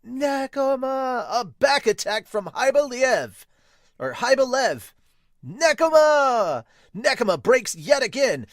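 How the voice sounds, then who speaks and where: Man acting a excitable young male athlete, raised pitch, loud, urgent, exclamatory, manga dub, dry sound. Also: slow pace, dry recording, full character voice. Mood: excited, shouting.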